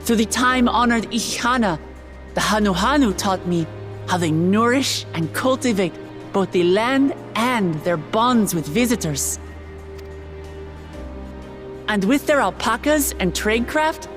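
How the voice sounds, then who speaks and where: An actress brings to life an earnest young woman heir stepping up as ruler, her voice sitting at an everyday middle pitch. She speaks with heartfelt sincerity to her people, steady and open, with orchestral game music swelling behind her. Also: lightly acted. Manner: heartfelt, earnest public speech. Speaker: new ruler giving an ascension speech. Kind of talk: video game cutscene voice acting.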